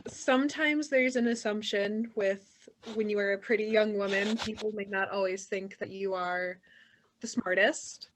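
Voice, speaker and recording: A young woman with a high, nasal voice, chatting casually in a group conversation and often saying "like". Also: fairly even intonation, normal speed, slight background noise, rough recording. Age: young adult. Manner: chatty, upbeat, uses "like" a lot. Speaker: guest.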